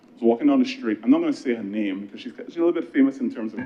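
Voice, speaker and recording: A man with a Barbadian accent delivering a parliamentary speech as a government minister, conversational and earnest, with emphatic pauses. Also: mid-range voice, animated, lo-fi sound. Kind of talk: political speech in parliament with anecdotes.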